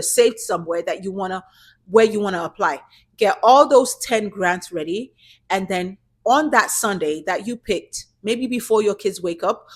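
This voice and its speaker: A woman's voice: medium pitch, fairly bright tone, slightly rough. A woman whose first language is not English hosts a channel and gives viewers casual, direct, encouraging advice. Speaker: YouTube channel host giving business grant tips. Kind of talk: casual tutorial talk to camera.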